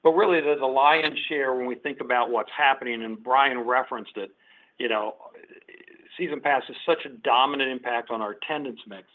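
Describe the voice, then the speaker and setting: A man speaking as a company executive, giving unscripted, businesslike answers on an earnings call and often restarting his phrases. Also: medium pitch. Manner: businesslike, halting restarts with repeated words. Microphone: conference call line. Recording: muffled, band-limited conference call audio.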